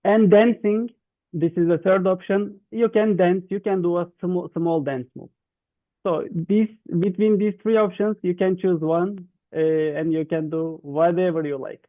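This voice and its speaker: A man with a Turkish accent explains instructions to an online group, halting and repeating himself in non-native English. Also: sits high, fairly even intonation, dry recording. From Turkey. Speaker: youth webinar facilitator. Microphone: video call. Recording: thin, dry phone-like online call.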